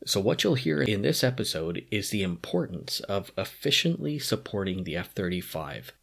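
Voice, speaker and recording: A man hosting a podcast interview, upbeat and quick to laugh. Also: lowish voice, very animated, gravelly, fairly bright tone, husky. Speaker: podcast host.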